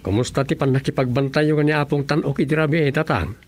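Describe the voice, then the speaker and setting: A man's voice: mid-range voice, quick pace, over loud music, natural voice. An actor playing an earnest, curious village councilman in a Filipino radio comedy drama, questioning and conversational, with an Ilocano accent. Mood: puzzled.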